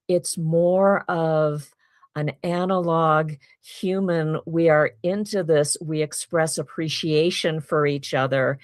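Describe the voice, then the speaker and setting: A woman's voice: deep voice, darkish tone, no room sound, studio quality. A woman making a thoughtful, casual comment, searching for her words. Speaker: audience participant.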